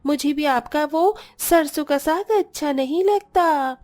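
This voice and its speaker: A high, sweet cartoon voice for an earnest young Bengali daughter-in-law, put on by a woman. She speaks politely with drawn-out words and a Bengali accent, yet holds her own. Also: a little mellow. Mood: offended.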